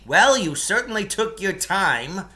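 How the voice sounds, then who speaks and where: Man putting on a high, indignant, bellowing storybook fellow. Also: big pitch swings, a little slow, slight background noise, exaggerated voice. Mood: indignant, self-important. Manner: loud, exclamatory, exasperated. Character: fussy, indignant, self-important man from a storybook.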